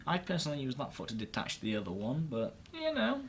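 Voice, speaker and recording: A man's voice: medium pitch, wildly swooping pitch, quick pace, a bit bright, a little hiss or hum. A man giving casual, offhand let's play commentary, muttering to himself and swearing now and then. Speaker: gamer, let's play host.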